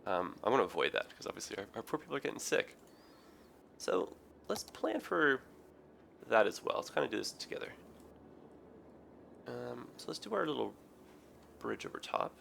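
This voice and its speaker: Hoarse, tired man with a gravelly voice. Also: medium pitch, big pitch swings, normal speed, pauses often, fairly bright tone, slight background noise. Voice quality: raspy. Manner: rambling, many ums and self-corrections. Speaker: gaming video host. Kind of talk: casual let's play commentary.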